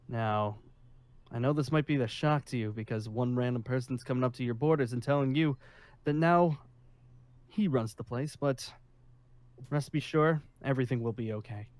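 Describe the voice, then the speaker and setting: A man's voice: mid-range voice, a little mellow, no room sound, close to an everyday voice. Man playing an officious, awkward safe-haven leader. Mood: self-assured, conciliatory. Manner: formal and rule-minded, with hesitant pauses and sighs. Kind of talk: improvised Minecraft zombie roleplay.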